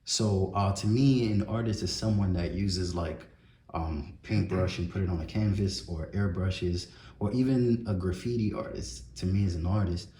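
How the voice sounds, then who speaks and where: A young adult man hosting a casual interview, relaxed and reflective, with many fillers. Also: low-pitched, moderate pace, reverberant, slight background noise. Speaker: interview host, photographer.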